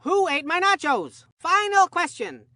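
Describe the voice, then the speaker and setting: An actor voicing an excitable, absent-minded kids' baseball game commentator who rambles in an earnest, folksy way. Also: very high-pitched, a little slow, no room sound, studio quality, full character voice. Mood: puzzled, earnest. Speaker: sports commentator. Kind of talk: cartoon video game sports commentary.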